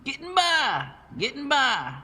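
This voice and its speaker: A man voicing a friendly, pot-bellied warehouse worker with a loud, bellowing delivery in an audio drama. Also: very high-pitched, wildly swooping pitch, takes it slowly, pitched above the performer's own voice, exaggerated voice. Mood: hearty.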